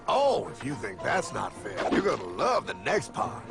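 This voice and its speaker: Brash, animated cartoon guide, swooping pitch. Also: male voice, normal speed, gravelly. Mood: smug. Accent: American. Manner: dramatic, cocky, preachy, calls listener pal. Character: brash, theatrical cartoon guide, a fast-talking streetwise mentor. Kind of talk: animated explainer cartoon acting. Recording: music bed behind the voice.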